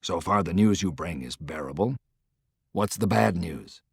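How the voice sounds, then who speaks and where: A man voicing a terse, stern army general in an audiobook, muttering flatly with little emotion. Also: unhurried, vocal fry, breathy edge, no echo, clean studio sound, full character voice. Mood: flat, guarded. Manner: muttering, low and flat, unemotional. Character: terse, stern, quietly commanding middle-aged army general.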